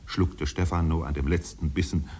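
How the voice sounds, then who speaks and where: An actor playing a solemn literary storyteller, narrating a 1950s German radio drama in a measured, dramatic manner. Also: male voice, fairly even intonation, a little mellow. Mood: measured, wistful. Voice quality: deep, husky.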